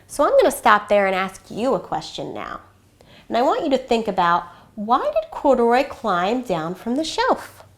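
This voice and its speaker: A woman teacher giving an expressive, instructive storybook read-aloud for children, pausing to pose questions. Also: on the high side.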